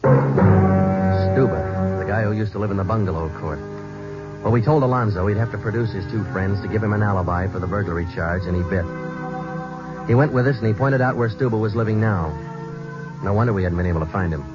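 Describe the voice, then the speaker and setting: A deep-voiced police sergeant , played by a man, who talks in short, flat, matter-of-fact lines. It sounds like an old radio drama broadcast, a bit dull in tone, with music underneath. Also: fairly quick, close to an everyday voice. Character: terse male police sergeant narrating a case. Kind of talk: 1940s radio drama acting.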